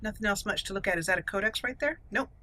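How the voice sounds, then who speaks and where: A woman's voice: mid-range voice, fast talker, pushed and bright. A woman hosting a let's-play video, commenting casually and talking to herself and the game characters as she plays. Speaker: gaming video host. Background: game music.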